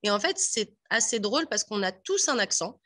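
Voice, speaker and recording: A woman from Paris with a Parisian French accent, chatting as a guest on a radio panel in a lively way with many fillers. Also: mid-range voice, moderate pace. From France (Paris). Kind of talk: casual radio discussion.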